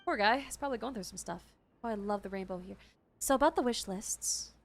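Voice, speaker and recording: A woman streamer commenting casually on a game, with expressive, exclamatory asides. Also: mid-range voice, a little fast, slight background noise. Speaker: game streamer reacting to a story quest.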